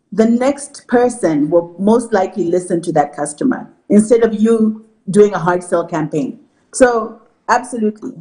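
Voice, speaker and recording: A middle-aged woman from Zimbabwe, a marketing executive answering TV interview questions in an articulate, measured way. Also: mid-range voice, mellow, rough recording.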